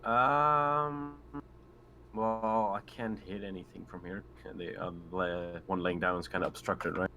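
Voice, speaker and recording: Man, deep forceful voice, tabletop player, casual chat, noisy call. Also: steady tone, measured pace. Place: online voice call. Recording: noticeable background noise on voice call.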